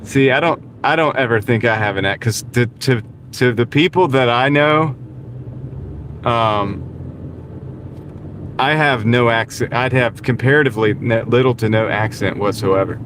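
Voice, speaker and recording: Man, casual livestream chat, driving, clip-on mic, steady road noise behind, medium voice. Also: medium pitch, moderate pace. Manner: chatty, greets viewers and chuckles often. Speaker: delivery driver livestreaming. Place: inside a car on the road. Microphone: small wireless clip-on mic. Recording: car road noise in background.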